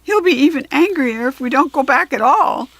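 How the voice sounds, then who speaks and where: A woman voicing an angry, bossy male goblin from a children's storybook, squawking loudly. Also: high voice, lively intonation, normal speed, no echo, voice pushed up, poor audio. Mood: grumpy, fed up. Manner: loud, squawking, shrieking.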